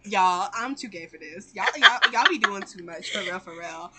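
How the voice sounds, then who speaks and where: A woman's voice: medium pitch, expressive, normal speed, a crowd in the background, rough recording. A woman improvising a comedic cartoon fan dub in a slangy, sassy, giggly way. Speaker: amateur fan dubber.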